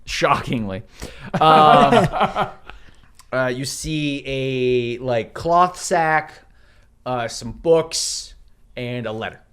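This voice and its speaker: Clear casual man running a tabletop game. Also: medium pitch, drawn-out words, long pauses, fairly bright tone. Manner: playful banter with players. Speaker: game master.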